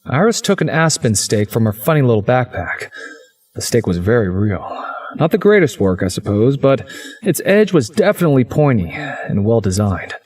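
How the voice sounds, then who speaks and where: A man's voice: mid-range voice, sing-song, moderate pace, darkish tone, faint music behind. A man playing a wry teenage schoolboy who narrates his own supernatural visual novel story. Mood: dry, wry. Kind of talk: first-person visual novel narration and dialogue.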